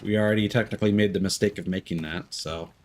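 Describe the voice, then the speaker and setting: A man's voice: on the low side, steady tone, fast. A man doing live video game commentary, reading game text aloud and tossing in playful quips and wordplay. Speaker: streamer playing a video game.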